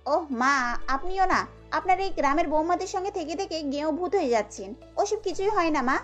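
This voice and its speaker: Woman as a haughty, high-voiced city daughter-in-law. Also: expressive, brisk, a bit bright. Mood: scornful, irritated. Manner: snappish, condescending, drops English words. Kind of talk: cartoon story dialogue. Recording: dull lo-fi audio with music bed.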